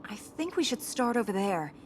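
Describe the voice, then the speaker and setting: A woman playing an earnest, dutiful young princess in a video game, matter-of-fact with a dry, gently teasing wit. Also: medium pitch, sing-song, normal speed, close to an everyday voice. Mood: explanatory. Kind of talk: video game dialogue acting. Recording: noisy game ambience behind voice.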